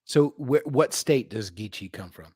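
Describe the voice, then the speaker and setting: A man's voice: mid-range voice, animated, unhurried, darkish tone. A man from New York hosting a podcast, talking in a blunt, profane, rambling casual way. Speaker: podcast host. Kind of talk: casual chat.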